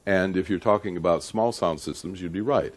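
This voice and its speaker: Man, middle-aged, low-pitched voice, seminar lecturer, deliberate delivery, emphatic key words, conversational, checks in with listeners. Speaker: audio engineering lecturer.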